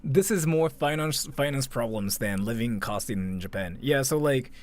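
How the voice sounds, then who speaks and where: A man's voice: medium pitch, lively intonation, moderate pace, a crowd in the background. A man giving casual, off-the-cuff reaction commentary as a livestream host, rambling conversationally and leaning on filler words like "like".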